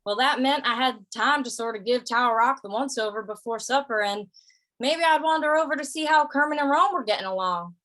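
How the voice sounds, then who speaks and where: A woman's voice: a little high, brisk, fairly bright tone, lightly acted. A woman playing an easygoing wandering gunslinger of the Old West, narrating a radio western in a folksy, conversational way. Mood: easygoing, reflective.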